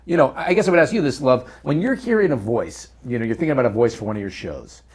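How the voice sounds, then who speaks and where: Male interviewer, conversational and animated, mid-range voice, relaxed podcast chat style. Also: medium pitch, quick pace, breathy edge. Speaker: podcast host interviewing voice actors.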